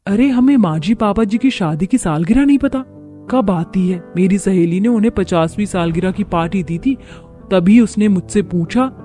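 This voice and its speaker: A woman voicing an eager, competitive young daughter-in-law in animated story dialogue. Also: mid-range voice, normal speed, faint music behind, close to an everyday voice. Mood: earnest.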